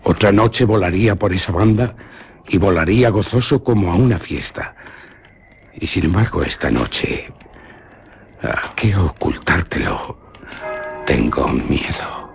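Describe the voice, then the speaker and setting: A man's voice: low voice, animated, unhurried, vocal fry, pauses often, a little hiss or hum, heavily acted. An actor with a Spanish accent from Spain playing a proud but fearful young nobleman and hunter in a radio drama, dramatic in manner. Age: young adult. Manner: dramatic, swings from boastful to fearful.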